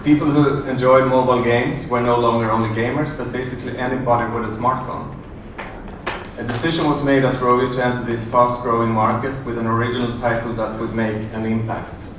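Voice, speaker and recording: A middle-aged man giving a conference talk in a large hotel room, halting with many fillers and restarts. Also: mid-range voice, flat delivery. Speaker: conference presenter. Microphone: room audio from a far camera. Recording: echoey, distant room recording with noise.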